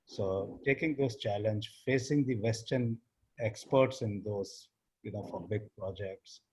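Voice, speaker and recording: A man in his fifties from northern India with an Indian English accent, speaking as an interview guest in a halting way, often trailing off quietly. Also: lowish voice, husky, poor audio. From India (Uttar Pradesh). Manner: halting, frequent fillers and restarts, trails off quietly. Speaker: interview guest, business founder. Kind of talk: casual interview talk.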